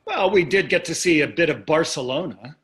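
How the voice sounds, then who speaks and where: A man acts a privileged husband in his sixties in a play reading. His voice sits slightly high for a man, and he speaks in dry, clipped, dismissive remarks with a blasé air. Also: animated, fairly quick, a bit bright. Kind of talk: staged play reading over video.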